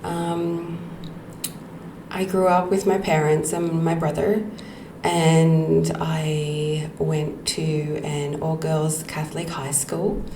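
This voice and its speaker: Woman podcast host, middle-aged, low gravelly voice, unhurried personal storytelling in an echoey room. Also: flat delivery. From Australia. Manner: slow, reflective, with frequent ums and soft laughs. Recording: echoey room with faint background noise.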